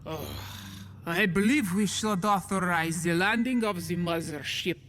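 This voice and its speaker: A man's voice: high voice, a little slow, fairly bright tone, slight background noise. A man playing a weary, pompous alien council leader with a formal, sighing manner in a science fiction audio drama. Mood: deliberating.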